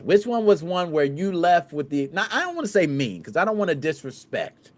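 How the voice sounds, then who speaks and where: This is a man from the States hosting a relaxed podcast chat. His voice sits a bit high and moves all over the place as he tells stories. He talks in loose slang and acts out what people said. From United States. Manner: animated, slangy, laughs and acts out scenes. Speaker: podcast host.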